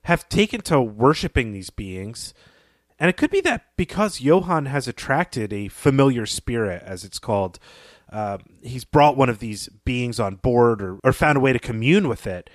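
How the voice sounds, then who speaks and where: A man's voice: mid-range voice, no room sound. A man co-hosting a podcast, analyzing a story in a thoughtful, conversational way. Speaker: podcast co-host.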